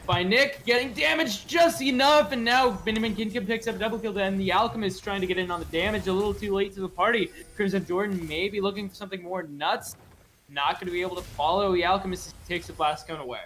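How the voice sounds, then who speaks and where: A man's voice: high-pitched, fast, fairly bright tone, slight background noise, rough recording. A man giving live play-by-play commentary on a video game match as an esports caster.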